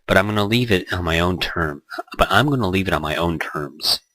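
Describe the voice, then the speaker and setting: A man's voice: low voice, lively intonation, a little fast, pushed and bright, dry recording. A man hosting a Let's Play, chatting casually and reading visual novel text aloud in a rambling, joking way.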